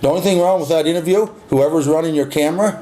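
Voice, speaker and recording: A man's voice: a little high, racing, warm tone. A man in a casual opinionated debate, blunt and insistent, swearing and repeating himself to make his point. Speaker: interviewee. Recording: echoey, clearly noisy background.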